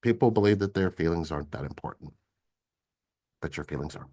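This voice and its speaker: An older man co-hosting a casual spiritual livestream, soft-spoken and rambling, repeating words as he thinks aloud. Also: low-pitched, a little fast. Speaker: co-host of a relationship coaching livestream.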